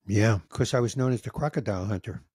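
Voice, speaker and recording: A man in his sixties hosting a podcast, telling a story in a casual, animated way and acting out the dialogue, with some emotion. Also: fairly low pitch, quick pace, a little hiss or hum. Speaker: podcast host, comedian. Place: home room with record shelves. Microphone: studio mic on boom, headphones, video call.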